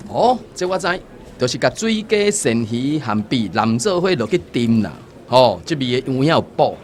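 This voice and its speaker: An actor playing a jovial, teasing troupe member in a radio drama, with a Taiwanese accent, over music. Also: male voice, mid-range voice, big pitch swings, normal speed, slight background noise, lightly acted. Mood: impressed.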